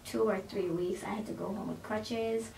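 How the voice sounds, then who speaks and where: A woman in her thirties from Jamaica, a guest calmly telling her own story in an interview. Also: medium pitch, normal speed, vocal fry, a loud crowd. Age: 30s. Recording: roomy sound, distant mic, faint noise.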